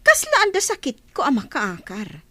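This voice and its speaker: A woman's voice: high-pitched, wildly swooping pitch, moderate pace, bright, forceful tone, no echo, exaggerated voice. A woman with an Ilocano accent playing a haughty, scornful young village woman in a radio drama.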